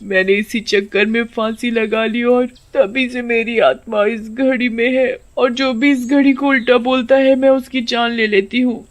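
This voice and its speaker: A woman's voice: on the high side, steady tone, a little slow, hardly any pauses, pitched above the performer's own voice, natural voice. A menacing female ghost witch from a horror storybook, performed by a woman. She is vengeful and accusing, speaking as a spirit with a deadly grudge. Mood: bitter.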